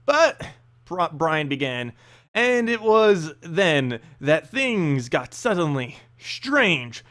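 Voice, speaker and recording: A man narrating a fantasy story aloud, with a halting delivery that stumbles over the text. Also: fairly high pitch, big pitch swings, a bit bright.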